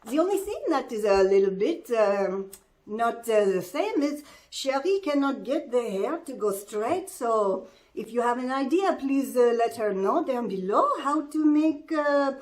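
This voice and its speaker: A woman voicing a chatty, good-natured fashion sidekick, speaking haltingly with singsong phrasing. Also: a little high, animated, a little mellow. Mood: cheerful, chatty. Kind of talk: playful in-character vlog chat.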